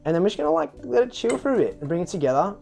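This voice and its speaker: A man's voice: sits high, sing-song, racing, gravelly, dark tone, faint music behind. A man from Australia with an Australian accent, a chef showing a dish in a kitchen, upbeat and playful. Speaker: chef and restaurant co-founder. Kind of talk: cooking demonstration chat. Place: private restaurant kitchen.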